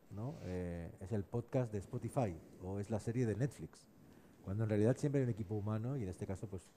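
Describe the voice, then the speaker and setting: A man from moderating a live discussion in measured phrases broken by short hesitations. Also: fairly low pitch, dark tone, a little hiss or hum. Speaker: panel moderator. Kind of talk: spontaneous panel moderation. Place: live panel at a festival event.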